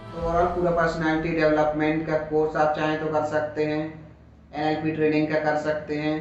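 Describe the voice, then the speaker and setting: A man's voice: on the high side, lo-fi sound. Indian man lecturing in a very echoey room. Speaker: teacher. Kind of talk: motivational lecture on communication skills. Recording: very echoey room, music behind.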